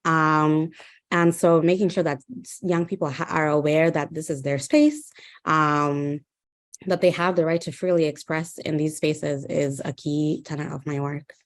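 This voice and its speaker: Soft-spoken young woman from Uganda. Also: low voice, a little slow. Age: 20s. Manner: thoughtful, many ums and restarts. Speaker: guest speaker. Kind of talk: webinar conversation.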